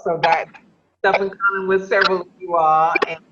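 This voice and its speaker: A woman's voice: lowish voice, steady tone, normal speed, highs cut off, very noisy. A woman from Chicago, a radio host and podcaster, telling her career story with enthusiasm on a video call. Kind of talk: casual video call chat.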